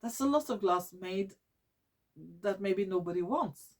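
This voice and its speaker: A woman from Norway with a Norwegian accent, hosting her own vlog in English, which is not her first language, often pausing to search for words and restarting her sentences. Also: mid-range voice, animated. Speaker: YouTube channel host. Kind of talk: casual vlog monologue.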